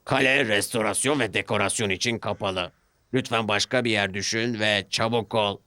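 A man's voice: lowish voice, fairly even intonation, normal speed, pushed and bright, dry recording. A man voicing a stern, impatient railway controller in a children's cartoon. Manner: stern, commanding.

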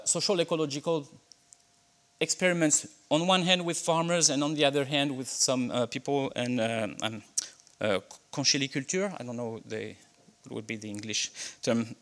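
A middle-aged man from France with a French accent, giving a conference keynote at a podium in a lecture hall, speaking hesitantly with many fillers and restarts. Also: fairly high pitch. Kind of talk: conference talk with slides. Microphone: podium microphone.

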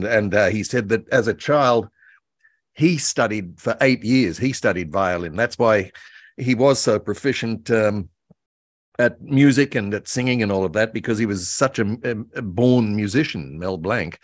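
A man's voice: fairly low pitch, normal speed, dry recording, clean studio sound. An older man reminiscing conversationally as a guest, telling stories in a hesitant, meandering way with repeated words. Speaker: guest author and voice actor. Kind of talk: reminiscing conversation about old radio. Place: indoor room, video call. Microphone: video call microphone.

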